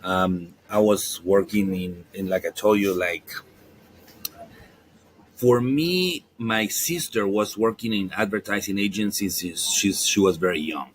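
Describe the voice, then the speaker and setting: A man's voice: on the low side, measured pace, slight background noise, low-quality recording. A man in his forties from Guatemala, a native Spanish speaker with a Guatemalan accent, chatting casually as an interview guest and rephrasing as he goes. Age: 40s. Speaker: podcast guest, marketing entrepreneur.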